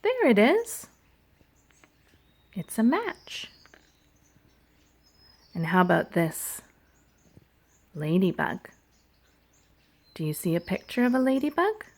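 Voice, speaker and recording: A woman childcare educator telling stories and playing with toddlers in a sing-song, animated, child-directed way, using simple phrases. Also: medium pitch, slow pace, long pauses. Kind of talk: sing-song picture book storytelling and play for toddlers.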